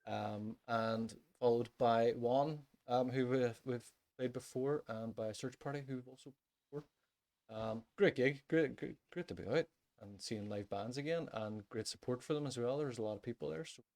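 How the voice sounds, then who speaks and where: A man co-hosts a podcast in a medium-pitched voice. His delivery is casual and conversational, with a hesitant, halting flow. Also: a little mellow. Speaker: podcast co-host. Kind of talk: casual chat.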